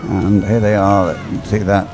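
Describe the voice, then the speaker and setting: A man giving unscripted live race commentary, explaining as he goes in a hesitant, halting way and repeating words. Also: sits low, flat delivery, over music. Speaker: sports commentator.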